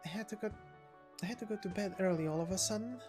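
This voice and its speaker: A woman's voice: mid-range voice, fast talker. A woman doing a scripted character reading of a game story, stumbling and restarting over some lines. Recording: music behind the voice. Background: music.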